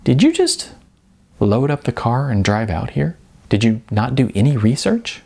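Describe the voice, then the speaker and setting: Man voicing a brisk young female receptionist, raised pitch. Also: big pitch swings, vocal fry, mellow, exaggerated voice. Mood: incredulous, advising. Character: young woman receptionist, brisk and dismissive gatekeeper. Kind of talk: audiobook character dialogue.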